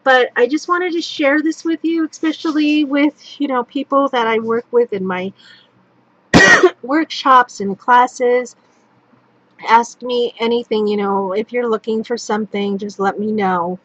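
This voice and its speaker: Craft demonstrator, woman, hoarse and fading voice, chatty with fillers, slightly high pitch, dull tabletop recording. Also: unhurried, a bit bright, a little hiss or hum. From Northern California. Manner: rambling, full of ums and restarts. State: losing her voice, coughing. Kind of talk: casual product walkthrough. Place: indoors at a wooden table. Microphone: overhead camera on a tabletop, hands only.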